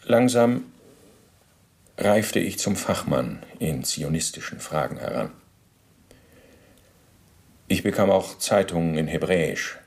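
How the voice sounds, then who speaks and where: Low, creaky matter-of-fact ex-officer. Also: male voice, lively intonation, slow pace, pauses often, exaggerated voice. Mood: matter-of-fact, self-justifying. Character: former SS officer under interrogation, matter-of-fact, self-justifying. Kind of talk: radio drama testimony, recounting at length.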